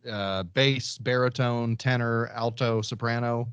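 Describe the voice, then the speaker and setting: A man's voice: medium pitch, fairly even intonation, unhurried, a bit bright, smooth, dry recording. A man co-hosting a podcast in casual, easygoing chat, on a slightly dull recording. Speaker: podcast co-host. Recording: slightly dull, muffled sound.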